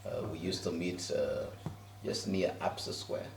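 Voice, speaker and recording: A man's voice: deep voice, fairly even intonation, a little slow, vocal fry, reverberant, recorded from a distance, a little hiss or hum. A Kenyan pastor in his fifties, easygoing and chatty, talking about his life in a house interview. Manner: easygoing, laughs often, many fillers. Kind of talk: relaxed interview answers. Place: living room in a house.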